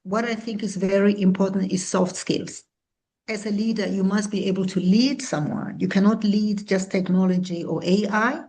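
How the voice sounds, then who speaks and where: A woman in her sixties from South Africa, a founder answering interview questions in an earnest, measured way. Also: medium pitch, steady tone, a little mellow. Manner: earnest, measured, with small restarts. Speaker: guest, founder and CEO.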